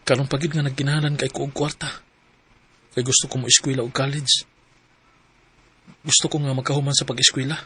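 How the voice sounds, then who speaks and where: A man's voice: mid-range voice, level tone, brisk, pauses often, fairly bright tone, slightly rough. An actor playing an earnest young man in a radio drama. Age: young adult. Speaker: boyfriend Rob.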